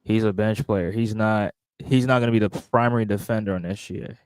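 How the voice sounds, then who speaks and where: A man with a fairly low voice talks basketball in a deliberate, hedging way. The sound is dry, with little room around it. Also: steady tone, darkish tone, smooth, no echo. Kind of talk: casual sports chat.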